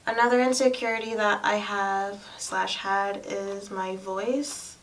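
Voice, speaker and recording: A soft-spoken young woman with a girlish voice, chatting casually and candidly to camera about personal things. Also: mid-range voice, steady tone, slow. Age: young adult. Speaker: lifestyle vlogger. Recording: distant mic, faint room noise.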